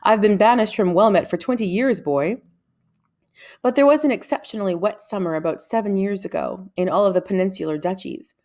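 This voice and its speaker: A woman's voice: medium pitch, a little fast, a little mellow, close to an everyday voice. A woman voicing a brusque, imperious older male wizard in a children's storybook, speaking in a stern, formal, clipped way. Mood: brisk. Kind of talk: children's storybook read-aloud.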